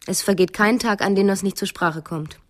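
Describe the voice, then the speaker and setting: Actress as brazen, quick-witted, restless wife. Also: female voice, mid-range voice, close to an everyday voice. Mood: cool bluffing. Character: restless, hard-drinking wife bluffing in disguise, quick-witted. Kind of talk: 1990s German radio play acting.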